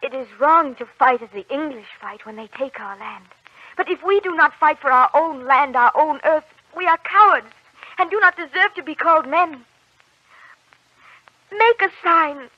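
Woman playing fearless young holy warrior girl, high bright voice, earnest old radio drama acting, muffled recording. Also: moderate pace, no echo, close to an everyday voice. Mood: earnest, resolute. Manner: earnest, declamatory.

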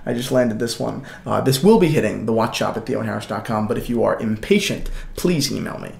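A man's voice: lowish voice, animated, fairly quick, vocal fry, a little mellow, roomy sound. A young man co-hosting a casual chat, talking eagerly with many fillers and restarts into a desk microphone in a small studio. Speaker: co-host. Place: small studio with shelves, indoors.

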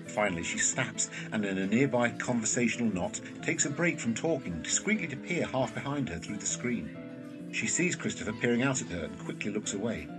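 A man reads scripted narration for an audio drama. His voice is on the low side with animated, varied pitch. Some music sits softly behind him. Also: rough recording.

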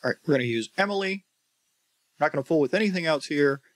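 A man in his forties with an American accent hosting a casual software tutorial in a conversational, emphatic way. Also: on the high side, expressive, fast, no room sound. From United States. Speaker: tutorial host.

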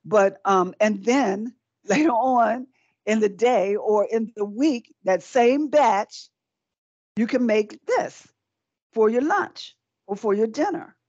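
A woman's voice: fairly high pitch, lively intonation, takes it slowly, a little mellow, slightly rough, no echo. Chatty woman cooking on camera, lo-fi. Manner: chatty and encouraging, repeats words while working. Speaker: cooking demonstrator. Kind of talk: live cooking demonstration. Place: small indoor set with folding screens. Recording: dry, dull lo-fi sound.

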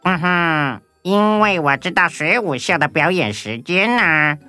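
A woman playing a cocky, taunting frog detective in a children's audio story, punctuating phrases with croaks. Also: mid-range voice, animated, measured pace, sharp tone. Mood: smug, teasing. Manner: croaks "gua gua" between phrases. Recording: music bed behind voice. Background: children's background music.

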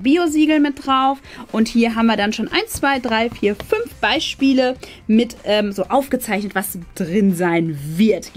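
High-voiced woman chatting. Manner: chatty, presenting items while handling them. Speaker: host of a craft and unboxing channel. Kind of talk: casual unboxing chat to camera. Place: small decorated room indoors. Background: background music.

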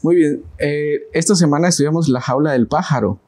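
A man's voice: on the high side, a little fast, a little mellow. A man in his thirties with a Mexican accent, hosting a studio Bible study and putting questions to the panel, with frequent hesitations. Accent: Mexican Spanish. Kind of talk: casual Bible study discussion. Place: TV studio set. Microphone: lapel.

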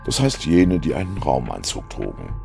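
Very deep, creaky male storyteller. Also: huge pitch swings, normal speed, darkish tone. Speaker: narrator. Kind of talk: scripted science fiction audio story narration. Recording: music under the voice. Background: music.

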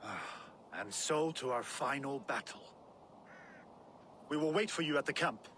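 Weathered older game Viking chief, measured and grave, medium pitch, noisy outdoor-style bed. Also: male voice, expressive, sharp tone. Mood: solemn, reflective. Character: weathered older Norse war leader, weary and reflective. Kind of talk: dramatic video game acting.

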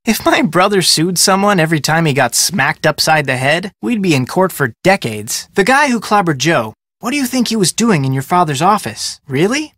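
High-voiced boyish American detective. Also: male voice, sing-song, normal speed, few pauses, a bit bright, dry recording, clean studio sound. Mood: curious, casual. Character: upbeat young amateur detective, polite but persistent. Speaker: video game character. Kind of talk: scripted video game dialogue.

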